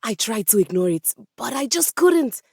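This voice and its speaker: A woman's voice: fairly high pitch, sing-song, moderate pace, vocal fry, darkish tone, slightly rough, no echo, clean studio sound, full character voice. A woman voicing a haughty, melodramatic musical instrument with a composer's snobbery in a video game, speaking with theatrical, pompous emphasis. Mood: pleased, hesitant. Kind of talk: video game character voice acting.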